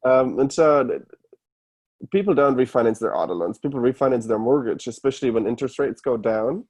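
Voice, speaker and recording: Mellow German-accented man explaining things. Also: mid-range voice, brisk. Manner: explains step by step with examples. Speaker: podcast guest, startup founder. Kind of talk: casual explanatory interview talk. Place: remote call.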